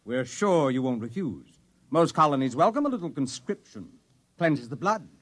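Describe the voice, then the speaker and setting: An actor playing a smooth, cynical Earth official who speaks down to colonists in a 1950s radio drama. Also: male voice, medium pitch, big pitch swings, normal speed, fairly bright tone. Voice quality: smooth, condescending.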